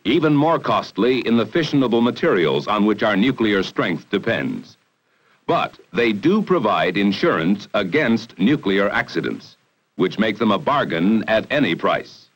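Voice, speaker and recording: An American man narrating a 1960 educational film in a formal, measured, authoritative way, on a vintage film soundtrack. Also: lowish voice, fairly bright tone, rough recording. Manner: formal, measured, authoritative delivery with emphatic phrasing.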